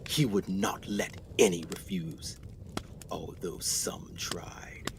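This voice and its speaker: A man acting in a radio play as an earnest, excitable explorer doctor, speaking formally with dramatic pauses. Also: sits low, whispered. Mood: haunted, hushed.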